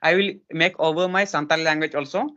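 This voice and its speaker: A man's voice: a little high, fairly even intonation, quick pace, sharp tone, lo-fi sound. A man, lecturing earnestly in a casual practice conversation, with many restarts and fillers. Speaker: host giving advice.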